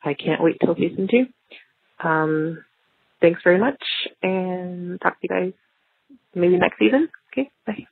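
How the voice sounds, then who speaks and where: A woman leaving a casual, reflective listener voicemail, pausing between phrases on a dull recording. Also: on the low side, vocal fry, breathy edge.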